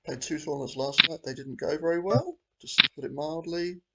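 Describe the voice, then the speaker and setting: Hoarse man with a cold, gravelly. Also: on the high side, a little fast, very noisy. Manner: rambling, half-muttered thinking aloud. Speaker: host. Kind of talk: casual commentary while playing online poker.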